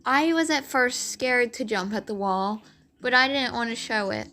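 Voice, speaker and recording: A woman with a raspy voice telling a rambling storytime, full of "like" fillers, over game footage. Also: on the high side, expressive, normal speed, bright, forceful tone, faint music behind. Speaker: vlogger.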